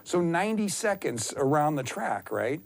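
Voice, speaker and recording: Man, fifties, slightly high pitch, excitable, self-deprecating, casual storytelling, interview guest. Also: moderate pace, vocal fry. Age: 50s. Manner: excitable, self-deprecating rambler who acts out quotes. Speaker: endurance athlete, interview guest.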